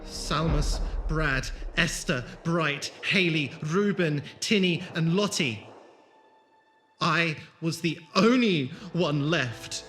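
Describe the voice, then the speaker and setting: Guy doing a haunted old-squad leader in an audio drama, crisp forceful voice, dramatic, bit of music under him. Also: fairly high pitch, slow pace. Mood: anguished, grieving. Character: haunted, grieving male former soldier, brisk leader of travellers.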